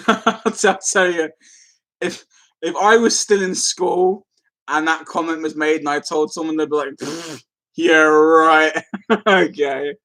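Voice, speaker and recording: A man's voice: a little high, steady tone, takes it slowly, fairly bright tone. A man hosting a casual live stream, rambling as he replies to comments and easily amused. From London, England.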